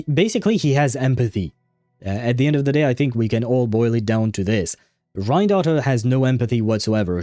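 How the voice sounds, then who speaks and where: A man's voice: lowish voice, mellow, no echo, studio quality. A man chatting casually on a gaming livestream, rambling and thinking aloud as he goes. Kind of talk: casual livestream chat about a game.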